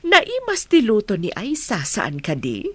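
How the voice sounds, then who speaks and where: Babai nga agak-akto a naparaw timek na nga ina. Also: female voice, medium pitch, huge pitch swings, unhurried, a bit bright, dry recording, full character voice. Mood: approving. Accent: Ilocano Filipino. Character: approving, kindly middle-aged mother. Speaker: actress in a radio drama. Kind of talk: Ilocano radio comedy drama acting.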